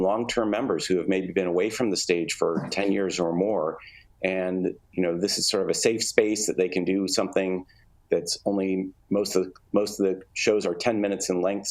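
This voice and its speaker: A man giving conversational interview answers, explaining things in a measured, halting way. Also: deep voice, low-quality recording. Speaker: interview guest, theatre artistic director.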